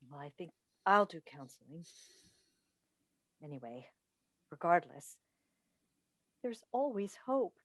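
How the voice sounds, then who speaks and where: A woman playing a weary, strained mother and wife in a staged play reading, halting and guarded, heard over a video call. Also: lowish voice, sing-song, no room sound, exaggerated voice. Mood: tired.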